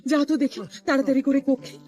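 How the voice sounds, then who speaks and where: A woman's voice: high voice, flat delivery, fast, dark tone, faint music behind, lightly acted. A woman voicing a caring but bossy goddess wife in a comic cartoon. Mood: fussing, commanding.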